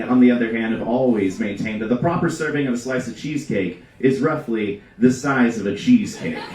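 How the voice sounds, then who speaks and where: A man performs a comic story for a live audience in a deadpan, deliberate way. His voice sits at a medium pitch. It is picked up from a distance in an echoey room. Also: a little hiss or hum. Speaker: reader at a live storytelling show. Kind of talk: comedic storytelling read aloud to a live audience. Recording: roomy live venue, distant mic.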